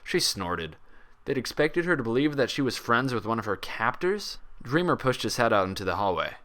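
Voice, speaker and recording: Male narrator for dramatic fiction, lively intonation, bright forceful tone. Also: medium pitch, normal speed. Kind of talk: dramatic scripted story narration.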